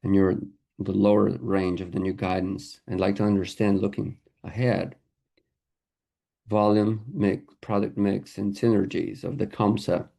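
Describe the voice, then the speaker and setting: A man interpreting a corporate earnings call live, speaking haltingly in short phrases. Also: on the low side, level tone, creaky, mellow. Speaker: conference interpreter.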